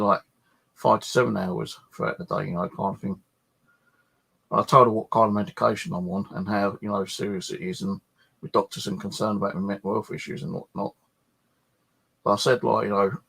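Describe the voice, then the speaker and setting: A man's voice: lowish voice, vocal fry, fairly bright tone, slightly rough. A man chatting casually and ramblingly about his own life, grumbling and swearing in a colloquial way. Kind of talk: casual rambling personal chat.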